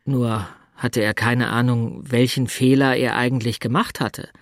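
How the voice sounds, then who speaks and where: An actor playing a sober, shy, awkward middle-aged man in an understated, halting radio drama style. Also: mid-range voice, fairly even intonation, dry recording, studio quality, lightly acted. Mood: tense, sober. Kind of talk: German radio drama, understated dialogue and narration.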